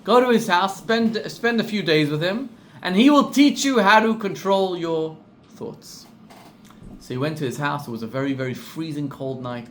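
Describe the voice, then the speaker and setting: A middle-aged man with a South African accent tells a story in a sermon, acting out each voice and repeating words for effect. His voice sits a little high and swings widely in pitch. A faint hiss runs under the recording. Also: normal speed. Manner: animated storyteller, acts out quoted voices, repeats for emphasis. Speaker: rabbi.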